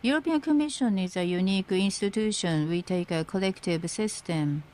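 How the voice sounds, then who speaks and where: A woman working as a simultaneous interpreter, rendering a formal speech live in halting phrases. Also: medium pitch, a little mellow. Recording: interpreter feed with faint background noise.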